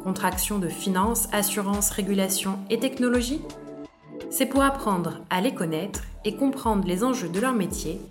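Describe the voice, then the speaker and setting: A woman hosting a podcast, reading a clear, upbeat intro and then asking the guest questions. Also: medium pitch, normal speed. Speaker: podcast host. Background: music.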